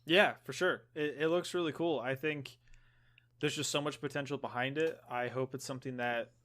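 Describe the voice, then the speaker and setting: A man's voice: medium pitch, fairly even intonation, moderate pace, a bit bright. Male podcast co-host, mid-range voice, rambling and explanatory, casual gamer chat.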